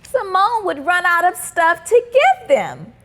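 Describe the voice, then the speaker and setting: A woman narrates a children's storybook in a high, very animated voice. She takes her time with the lines, reading expressively and deliberately for young listeners. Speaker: children's book reader and host.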